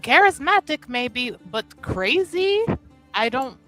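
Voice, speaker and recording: A woman voicing a blunt, skeptical woman in tabletop roleplay, speaking deliberately and pausing in the middle of her thoughts. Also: high voice, sing-song, fairly bright tone, soft background music, heavily acted. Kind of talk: improvised in-character tabletop roleplay.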